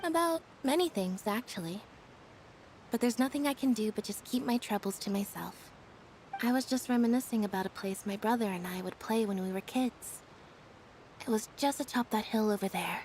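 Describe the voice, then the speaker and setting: A woman voicing a flat-spoken melancholy girl. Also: medium pitch, moderate pace, a little hiss or hum, natural voice, rough recording. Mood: wistful. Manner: flat, soft, sometimes whispered. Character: quiet young woman, melancholy and deadpan.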